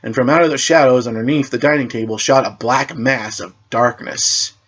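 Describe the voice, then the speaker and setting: A man narrates a story like an amateur reader. His voice sits at a medium pitch and he stumbles now and then, repeating words. The recording is dull and lo-fi. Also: a bit bright, breathy edge. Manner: halting, stumbles and repeats words while reading. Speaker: narrator reading own superhero story.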